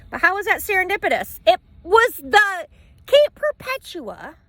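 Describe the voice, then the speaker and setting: A woman's voice: very high voice, sing-song, a little slow, a bit bright, no echo. A woman rambling through a personal vlog from inside a parked van, stopping and starting and repeating phrases for emphasis. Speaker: travel vlogger living in a van. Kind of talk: rambling personal vlog, talking to camera and to a dog. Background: faint ocean wash.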